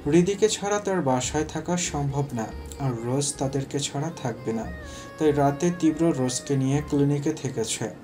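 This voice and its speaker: A man narrating a scripted romantic story as its storyteller. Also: fairly high pitch.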